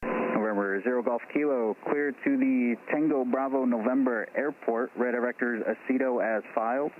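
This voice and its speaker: A man's voice: mid-range voice, normal speed. Man controller on tinny, noisy tower radio. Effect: air traffic radio. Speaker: air traffic controller. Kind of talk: clipped aviation radio instructions.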